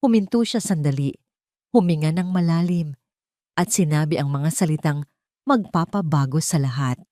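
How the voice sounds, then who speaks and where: A woman's voice: low-pitched, moderate pace, mellow, no echo, clean studio sound. A woman with a Filipino accent narrating a scripted dramatic story.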